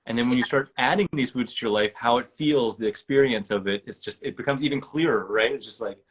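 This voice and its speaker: A man hosts a relaxed interview and talks at length, earnest and excited. His voice sits at a middle pitch with a husky, slightly rough grain. He strings long thoughts together and circles back to repeat himself. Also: quick pace, low-quality recording. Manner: earnest, rambling, enthusiastic. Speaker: podcast host. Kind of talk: casual interview chat.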